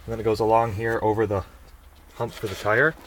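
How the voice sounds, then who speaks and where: A young man giving a relaxed, rambling how-to walkthrough while filming outdoors in the snow and inside his car on a handheld camera. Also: on the low side, a little fast, a little hiss or hum, low-quality recording. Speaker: vlogger.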